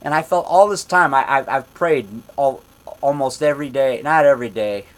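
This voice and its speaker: A man in his fifties telling a rambling story in a loud voice, repeating himself and getting worked up as he goes. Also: mid-range voice, moderate pace. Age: 50s. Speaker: monster movie maker telling a story. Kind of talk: casual storytelling monologue.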